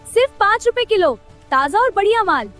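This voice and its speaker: Here is a woman acting as a brave, quick-witted village girl from a moral tale. Her voice is high and youthful, respectful yet firmly determined, with soft music underneath. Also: big pitch swings, heavily acted. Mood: spirited. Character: brave, clever young village farmer's daughter. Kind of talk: dramatized moral story dialogue.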